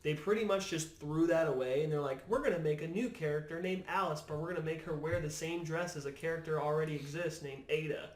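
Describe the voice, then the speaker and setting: A man's voice: fairly high pitch, quick pace, few pauses. A man chatting casually and giving opinions as a podcast guest, emphatic and often repeating his words for effect. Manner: emphatic, repeats words, mimics imagined remarks. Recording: roomy, echoing room.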